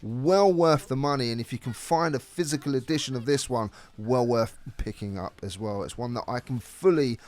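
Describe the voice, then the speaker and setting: A man's voice: mid-range voice, moderate pace, hardly any pauses, a little mellow. A man presenting a scripted video game review as narrator.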